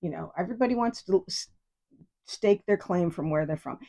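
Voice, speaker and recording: A woman's voice: fairly low pitch, normal speed, dark tone. A woman in her fifties from New York State, hosting a solo podcast in a rambling, digressive and profane way. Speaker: podcast host. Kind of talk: casual solo podcast monologue.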